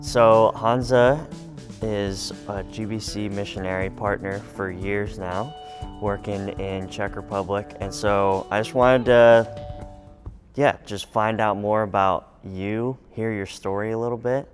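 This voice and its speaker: A man's voice: fairly low pitch, steady tone, unhurried, faint music behind. A male host interviewing a guest in a friendly, curious way with short reactions. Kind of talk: casual interview.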